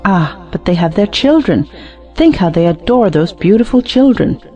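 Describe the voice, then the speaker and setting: A woman's voice: mid-range voice, expressive, normal speed, dark tone, muffled recording, faint music behind, natural voice. An actress playing an anxious, sentimental, conventional married woman who pleads in an audio play. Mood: pleading. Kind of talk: stage play audiobook acting.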